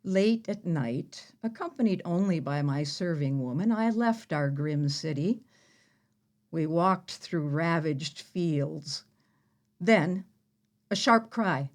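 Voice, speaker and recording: Woman playing a slow, low-voiced, sardonic ancient heroine. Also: lively intonation. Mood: suspenseful, sly. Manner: measured, mocking, deliberate storytelling. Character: proud, sardonic biblical heroine who slew an enemy general. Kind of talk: theatrical audio-play monologue.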